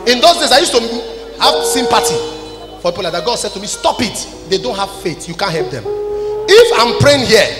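A man's voice: sits high, sing-song, a little fast. A Nigerian man in his forties preaching to a congregation in an emphatic, scolding way, in short declarative phrases. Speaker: preacher, apostle leading a church service. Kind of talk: sermon, addressing a congregation. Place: large church hall, on stage. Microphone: handheld microphone. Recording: echoey large hall PA, music behind.

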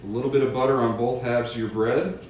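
A middle-aged man gives folksy, step-by-step cooking and safety instructions. He is picked up from across a home kitchen by a distant camera mic, so his voice sits back in the room. The hard surfaces add plenty of echo, and clear background noise runs under his speech. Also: mid-range voice, steady tone, fast. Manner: folksy, instructive, addresses kids and parents directly. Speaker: fire safety educator. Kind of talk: cooking demonstration with safety tips. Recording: echoey kitchen, mic far off, audible noise.

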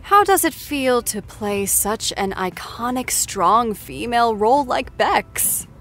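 A woman playing a gushing, excitable TV after-show host who interviews a star in an effusive manner. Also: on the high side, takes it slowly. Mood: gushing, playful. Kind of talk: scripted celebrity interview show.

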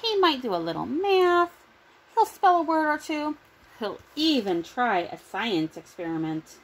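A middle-aged woman with a high voice reads a children's story aloud. She is recorded in a small room at home, on a device at about arm's length. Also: very animated, measured pace, poor audio. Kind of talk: picture book read-aloud.

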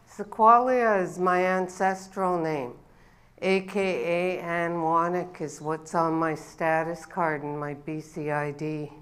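A woman in her sixties, an elder giving a welcome address on a stage, telling her family's history in an unhurried way with a body-worn mic. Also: fairly low pitch, steady tone. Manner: slow, unhurried storytelling with rising ceremonial calls. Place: dark event stage before an audience.

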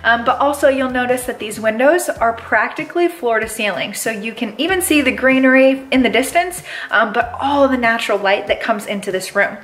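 A woman's voice: on the high side, brisk, hardly any pauses, fairly bright tone. A young woman, a real estate agent, giving a bubbly, enthusiastic house tour from a camera held at arm's length. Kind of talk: upbeat house tour vlog. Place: inside a large empty house. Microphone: handheld camera at arm's length.

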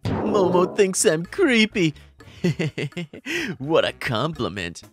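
A man playing a lovestruck young male idol superfan, gushing in exaggerated anime style with drawn-out words. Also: high-pitched, huge pitch swings, over music, exaggerated voice. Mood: creepy adoration.

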